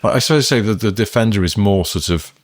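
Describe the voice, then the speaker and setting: Man, very deep voice, dry close recording, casual podcast chat, rambling. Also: fast talker, dry recording. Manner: musing, rambling, sort-of hedging. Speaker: podcast co-host.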